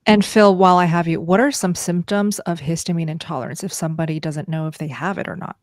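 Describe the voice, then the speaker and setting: A woman with a fairly low voice moderating a live Q&A and reading out viewer questions. Her delivery is clear and direct, and the sound is dry and close. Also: steady tone, fast talker, dry recording.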